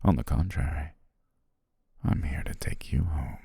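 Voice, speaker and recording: A man playing a possessive shapeshifting naga who poses as a devoted servant, speaking gently and formally in an intimate ASMR roleplay, husky, breathy and often whispering. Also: a bass voice, no echo, studio quality, heavily acted. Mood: tender, possessive, coaxing. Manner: slow, gentle, formal, coaxing.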